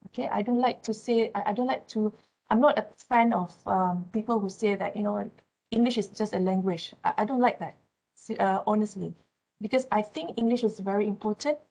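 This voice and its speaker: A woman's voice: mid-range voice, brisk, vocal fry, warm tone, rough recording. Malaysian woman lecturing with tag questions. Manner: frequent "uh", tag questions "right?" and "yeah?". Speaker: university lecturer. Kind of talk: classroom lecture.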